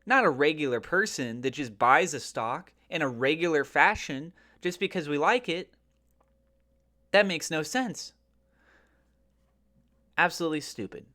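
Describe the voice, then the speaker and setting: Guy with a kinda higher voice doing casual stock and crypto commentary, emphatic, talks straight to viewers. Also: animated, measured pace, pauses often, sharp tone. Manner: emphatic, rhetorical questioning, colloquial. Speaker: finance and crypto video host.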